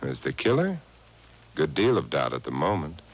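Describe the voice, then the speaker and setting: Dry suave 1950s radio sleuth, deep voice, wry, muffled old recording. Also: male voice, wildly swooping pitch, moderate pace, heavily acted. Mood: sardonic, cool. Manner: dry, urbane, sarcastic. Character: suave, sardonic male amateur sleuth. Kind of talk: 1950s radio detective drama acting. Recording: dull old radio broadcast on cassette.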